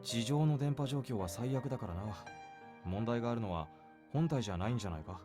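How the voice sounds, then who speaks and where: A man voicing a laconic young soldier in a Japanese visual novel, curt, cool and deadpan. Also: mid-range voice, rapid-fire, over music, close to an everyday voice. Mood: dry.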